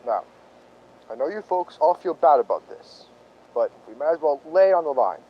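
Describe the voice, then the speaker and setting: An actor playing a plain-spoken, suspicious police lieutenant in a radio drama, questioning people in a blunt, folksy way. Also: male voice, a little high, big pitch swings, normal speed, dull audio. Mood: blunt, accusing.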